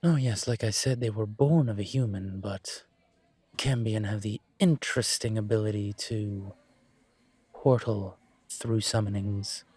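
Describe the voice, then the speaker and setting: A man playing a calm, courteous incubus professor and investigator in an intimate audio roleplay, speaking considerately with careful pauses. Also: fairly low pitch, breathy edge, dry recording. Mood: explanatory. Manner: measured, considerate, hesitant pauses. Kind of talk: scripted audio roleplay, intimate one-sided conversation.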